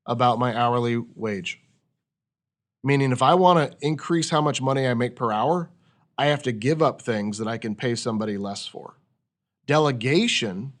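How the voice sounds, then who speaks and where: Male podcast host, medium pitch, conversational coach who teaches through rhetorical questions. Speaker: podcast host leading a discussion.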